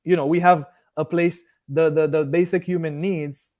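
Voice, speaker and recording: A man's voice: on the high side, steady tone, normal speed, a little mellow. A man in his early thirties from Haiti, raised partly in Miami, hosting a casual live stream in a rambling, conversational way as he answers viewers. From Haiti, moved to Miami at fourteen. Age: early 30s. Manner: rambling, loses and regains his thread.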